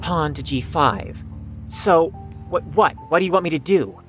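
An actor as proud, anxious teenage chess prodigy, animated swoops. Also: medium pitch, a little slow, faint music behind, lightly acted. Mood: guarded, defensive. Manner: formal, precise, stammers when flustered. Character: anxious, proud, bookish fifteen-year-old chess-playing teenager. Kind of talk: audio drama acting.

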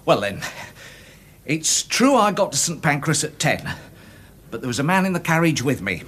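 Timid, fluttery little English witness. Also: male voice, fairly high pitch, big pitch swings, normal speed, fairly bright tone, slightly rough, exaggerated voice. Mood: anxious, embarrassed. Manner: stammering, hesitant, prim, earnest. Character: timid, respectable, embarrassed little man giving evidence. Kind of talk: radio drama acting.

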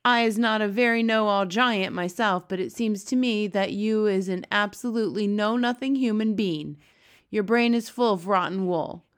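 A woman's voice: medium pitch, moderate pace, close to an everyday voice. A woman voicing a very old, kindly male giant who talks with mangled grammar and made-up words. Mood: scornful.

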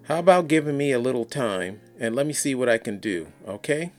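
A man voicing a calm, reassuring adult woman with a measured, advising manner in an audiobook. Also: mid-range voice, soft background music, close to an everyday voice.

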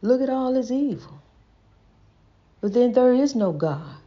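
A woman's voice: on the high side, animated, a little slow. A woman with a stutter giving a casual, folksy inspirational talk, repeating herself and calling the listener honey, on a dull lo-fi recording. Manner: says she stutters. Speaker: host. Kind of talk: casual inspirational preaching monologue.

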